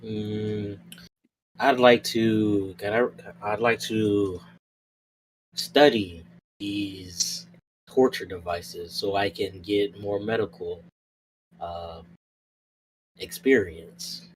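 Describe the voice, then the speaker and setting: A man playing a tabletop roleplay game, chatting casually and haltingly, often repeating his words. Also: lowish voice, fairly even intonation, a little hiss or hum, rough recording.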